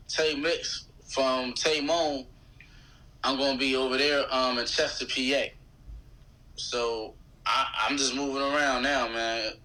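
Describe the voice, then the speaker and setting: A man's voice: medium pitch, bright, forceful tone, low-quality recording. An American man chatting casually as a podcast guest, using slang and repeating phrases for emphasis. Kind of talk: casual chat.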